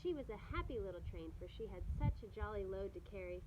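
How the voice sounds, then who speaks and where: A woman's voice: mid-range voice, animated, fast. A woman teacher reading a picture book aloud to children in a noisy home recording, with chatty asides to her young listeners.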